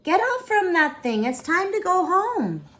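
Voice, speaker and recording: A woman voicing a caring, gentle old grandmother from a storybook. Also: very high-pitched, very animated, measured pace, voice pushed up, exaggerated voice. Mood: calling out. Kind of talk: storybook character voice.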